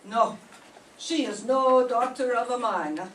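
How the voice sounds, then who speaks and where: An older woman playing a poor, frightened immigrant mother in a radio drama, pleading in halting broken English. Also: a little high. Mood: shaken. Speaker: amateur radio drama performer. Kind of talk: live reading of a 1940s radio drama. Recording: echoey room, distant mic, faint noise.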